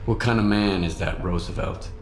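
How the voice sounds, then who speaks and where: An actor playing a terse, guarded, businesslike lone mercenary in a video game. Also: male voice, fairly low pitch, normal speed, over music, close to an everyday voice. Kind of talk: video game character dialogue. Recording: roomy echo, faint noise.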